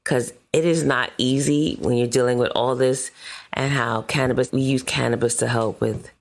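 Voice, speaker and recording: A woman co-hosting a podcast, with a deep voice and a playful, upbeat way of talking. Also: steady tone, normal speed. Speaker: podcast co-host. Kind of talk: casual podcast talk.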